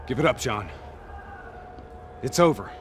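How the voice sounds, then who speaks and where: An actor playing a hot-headed, profane soldier in a cinematic video game, with a gruff, emphatic delivery. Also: male voice, mid-range voice, animated, a little slow, a little hiss or hum, close to an everyday voice. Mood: pleading. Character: hot-headed, profane male soldier pleading with lost comrade.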